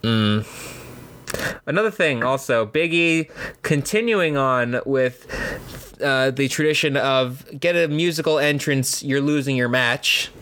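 A man's voice: mid-range voice, unhurried, a bit bright, slight background noise. A man co-hosting a casual wrestling podcast, steering the talk and bringing up each new topic. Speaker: podcast co-host.

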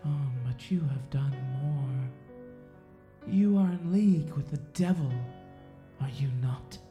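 A woman plays a cold, self-righteous Puritan sheriff in an audio drama, a man who preys on the weak. The voice is low and soft-edged, brooding through long pauses. It sounds in a roomy echo with music underneath. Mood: fervent, menacing. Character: menacing, self-righteous male Puritan sheriff, coldly predatory. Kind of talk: audio drama acting, slow dramatic monologue.

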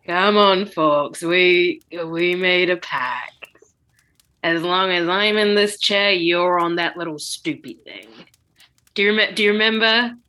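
A woman's voice: on the low side, slow, bright, forceful tone. A woman playing a chatty, oblivious old wizard headmaster in an improvised comedy sketch, stammering and slangy. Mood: cajoling.

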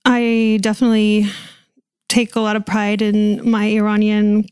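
American woman, podcast guest, smooth voice, little pitch movement, casual hesitant delivery, dry recording. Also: monotone, unhurried, darkish tone, no echo. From suburbs of Washington DC, USA. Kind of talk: casual interview talk.

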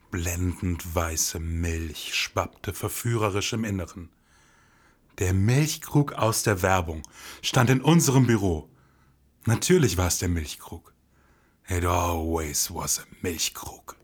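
A man's voice: sits low, slow, slightly rough, no echo. A man hosting and narrating a podcast in a dramatic, brooding, hard-boiled detective manner. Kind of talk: scripted noir detective narration.

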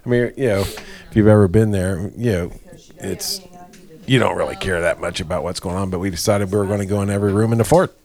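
A man's voice: lowish voice, expressive, quick pace, a crowd in the background. A man chatting casually, easygoing and chuckling as he tells a childhood story. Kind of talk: casual chat.

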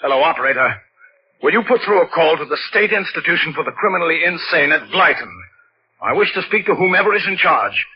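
A man's voice: a little high, lively intonation, fast talker, lightly acted. An actor playing a scheming, murderous stepfather, formal, emphatic and indignant, in 1940s radio drama style. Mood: urgent, formal. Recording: dull old radio broadcast, noisy.

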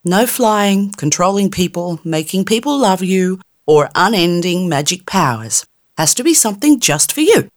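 A woman's voice: fairly low pitch, animated, normal speed, voice pushed up, lightly acted. A woman voicing a tiny rude immortal fairy, crude and impatient. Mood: cranky, cheeky.

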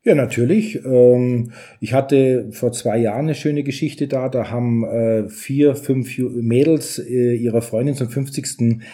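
A middle-aged man with a very mellow, dark voice at an average pitch. He speaks as an interview guest, explaining his work in a chatty, conversational way with a joking touch. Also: fairly even intonation, a little slow. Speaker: recording studio owner, interview guest. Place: home recording studio indoors.

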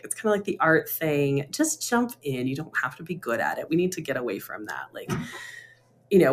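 Woman, lowish voice, casual co-host chat, animated, laughs a lot, computer mic on a call. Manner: animated, laughs often, many "like" and "you know". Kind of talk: casual conversation over a call.

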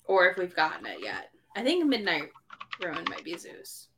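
A woman's voice: fairly low pitch, huge pitch swings, normal speed, noisy background. A woman hosting a podcast, chatting casually about a book and thinking aloud as she goes. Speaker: podcast host.

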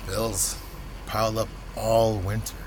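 A landowner who hates to ask for favours. He speaks slowly and haltingly, apologetic and indirect, in a naturalistic radio-play style, with clear engine noise outdoors behind him. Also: flat delivery. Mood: defensive, weary. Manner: halting, low-key, indirect. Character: hesitant, apologetic male landowner asking for money. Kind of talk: naturalistic audio drama dialogue.

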